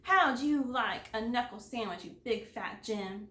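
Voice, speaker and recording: A woman's voice: a little high, unhurried, a bit bright, roomy sound. A woman voicing an outspoken, grumpy kindergarten girl from a storybook, blunt and childishly emphatic. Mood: annoyed.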